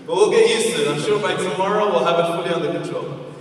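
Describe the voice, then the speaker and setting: A man's voice: high voice, quick pace. A man in his twenties from Afghanistan, hosting a conference on stage and working the crowd with questions. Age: 20s. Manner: energetic, engaging the audience with questions. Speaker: event host. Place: large convention hall. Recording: echoey hall, far from the mic.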